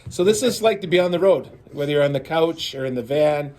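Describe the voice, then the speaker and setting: A man's voice: a little high, expressive, fairly quick, a little mellow. A man from a band hosting a casual video, upbeat and playful as he prompts his bandmate to tell stories. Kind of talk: casual chat.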